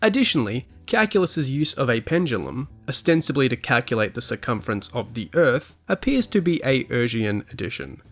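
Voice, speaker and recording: Male podcast narrator, mid-pitched and expressive, articulate scripted essay delivery with dry asides. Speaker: podcast host.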